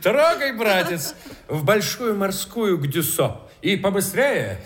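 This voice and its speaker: An actor playing a carefree, flirtatious rich gentleman in a period radio drama, with a playful drawl. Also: high-pitched, very animated, takes it slowly, exaggerated voice. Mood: flirtatious, amused. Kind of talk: Russian radio drama acting.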